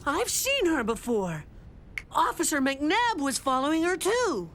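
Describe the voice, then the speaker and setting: Cocky little boy, high voice, unhurried, lively intonation, boastful cartoon detective. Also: a little hiss or hum, heavily acted. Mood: confident. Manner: self-important, boastful. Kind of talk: animated children's cartoon acting.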